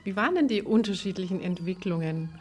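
A woman's voice: mid-range voice, expressive, quick pace, slightly rough. A woman interviewer asking questions in a conversational style.

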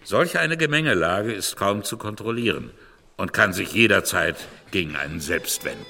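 An actor playing an emphatic orator, declaiming insistently in a radio drama monologue. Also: male voice, low-pitched, animated, normal speed, gravelly, pushed and bright, faint music behind, heavily acted. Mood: declamatory. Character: emphatic male orator delivering a political essay. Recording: long hall reverb.

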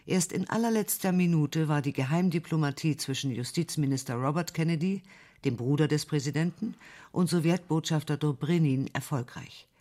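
Higher-voiced male documentary narrator. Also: normal speed, darkish tone.

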